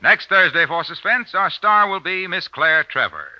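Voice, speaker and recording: A male American radio announcer of the 1940s with a fairly high, animated voice and emphatic polish. The recording is muffled, with faint music behind. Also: moderate pace. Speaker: radio announcer and sponsor pitchman. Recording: dry, muffled old radio broadcast.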